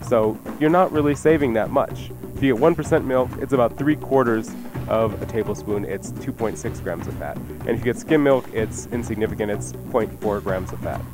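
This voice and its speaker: A man's voice: medium pitch, normal speed, over music. A man giving casual, emphatic cooking advice as a radio show's chef and host. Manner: emphatic, matter-of-fact instruction. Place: radio studio.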